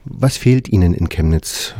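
A man interviewing a guest for a radio program, calmly asking several questions in a row. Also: deep voice, a little slow, dry recording, studio quality.

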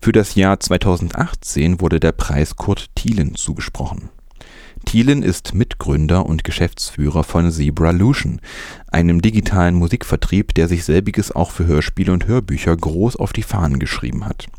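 Tiefe, weiche Männerstimme als Nachrichtensprecher. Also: male voice, low-pitched, moderate pace, darkish tone, no room sound, studio quality. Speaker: news presenter. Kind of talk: scripted news narration.

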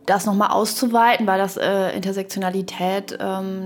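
Halting podcast co-host, fairly even female-sounding voice, woman. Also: medium pitch, creaky, slight background noise. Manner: halting, hesitant, thinking aloud with academic vocabulary. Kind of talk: casual, reflective podcast discussion.

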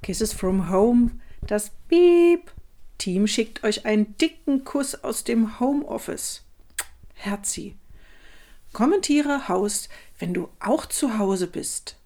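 A woman's voice: on the high side, expressive, slow, warm tone. A woman playing a gushing social media influencer, reading posts, hashtags and emojis aloud in an audio collage.

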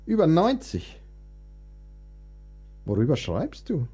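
An actor playing a touchy, indignant, melancholic composer in a historical radio play, theatrical and aggrieved. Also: male voice, on the high side, huge pitch swings, takes it slowly, warm tone, breathy edge, faint music behind, heavily acted. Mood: defensive. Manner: theatrical, aggrieved, swinging between outbursts and sulky asides. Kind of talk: radio play dialogue, dramatic monologue.

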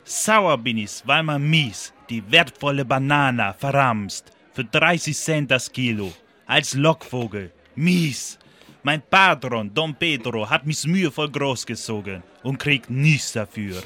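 A man's voice: mid-range voice, animated, measured pace, sharp tone. A man playing an indignant, worldly-wise talking banana in a political radio play, lecturing emphatically and repeating words for effect. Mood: sour, indignant.

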